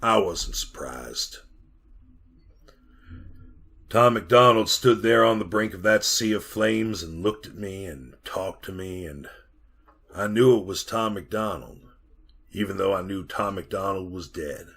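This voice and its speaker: A man's voice: sits low, fairly bright tone, heavily acted. An actor playing a haunted, weary man recounting an eerie ordeal in old-time radio horror style. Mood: hushed, wondering. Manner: slow, brooding first-person storytelling. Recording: clearly noisy radio drama recording.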